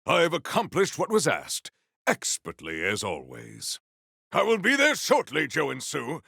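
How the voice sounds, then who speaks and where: An actor playing a proud, formal, honor-bound warrior in a video game, with archaic, theatrical diction. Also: male voice, on the high side, huge pitch swings, a little slow, creaky, pushed and bright, breathy edge, no echo, clean studio sound, heavily acted. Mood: composed, stately.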